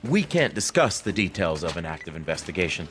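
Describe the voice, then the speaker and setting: An actor playing a stern, curt federal agent, official and blunt, in an audio drama. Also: male voice, low-pitched, very animated, brisk, fairly bright tone, soft background music. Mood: firm, official.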